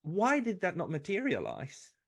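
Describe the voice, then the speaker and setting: A male interviewer with a somewhat high voice puts questions to a guest. His phrasing is conversational and unscripted. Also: wildly swooping pitch, unhurried, gravelly.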